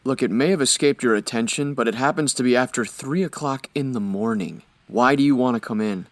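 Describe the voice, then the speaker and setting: Surly shop manager, radio comedy male actor: medium-pitched, curt, irritable, no patience. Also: fairly quick, lightly acted. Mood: irritated. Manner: irritable, brusque. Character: grumpy, curt dry cleaner's night manager. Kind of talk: comedic old-time radio drama acting.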